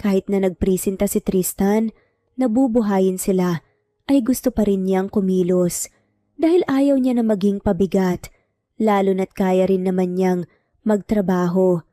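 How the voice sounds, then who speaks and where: Mellow woman narrating romance over music. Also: mid-range voice, a little slow, no room sound. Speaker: story narrator. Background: background music.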